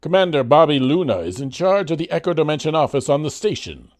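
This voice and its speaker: A man's voice: a little high, expressive, quick pace, darkish tone, dry recording. A man reading scripted narration in the style of an old radio serial. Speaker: narrator of an audio drama.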